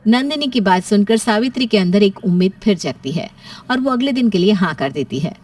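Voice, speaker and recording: Animated woman storyteller with lively pitch. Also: fairly quick. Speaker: story narrator. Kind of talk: scripted storytelling narration.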